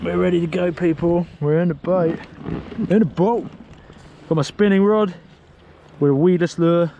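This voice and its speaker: A man in his thirties from the UK, chatting casually and excitedly to camera while setting up gear outdoors. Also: high voice, moderate pace, highs cut off. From United Kingdom. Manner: upbeat, chatty, slangy. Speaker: outdoor vlogger. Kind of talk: casual show-and-tell to camera. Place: windy pebble beach outdoors. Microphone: camera mic outdoors. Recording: wind noise on outdoor mic. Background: wind and shore ambience.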